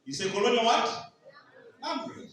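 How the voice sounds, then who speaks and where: Ugandan preacher in an echoey hall. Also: male voice, extremely high pitch, animated, moderate pace, fairly bright tone, recorded from a distance. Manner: call-and-response questions to the congregation. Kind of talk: sermon.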